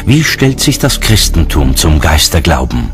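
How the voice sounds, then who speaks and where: A man's voice: very deep voice, sing-song, a little slow, music in the background. A man reading scripted narration as the narrator of a documentary.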